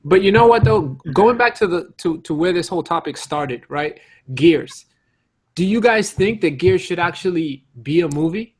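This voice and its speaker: A man's voice: sits high, normal speed, slightly rough. A man co-hosting a podcast, arguing casually among friends and insistently repeating words for emphasis. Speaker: podcast co-host.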